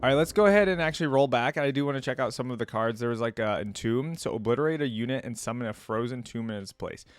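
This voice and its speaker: A man giving casual reaction commentary as a video host, informal and rambling as he thinks out loud. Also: mid-range voice, fast talker, hardly any pauses.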